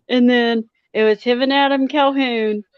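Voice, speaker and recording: A woman chatting casually on a livestream podcast, joking and animated. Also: a little high, slow pace, clean tone, dry recording, lo-fi sound. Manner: joking, animated, laughs often.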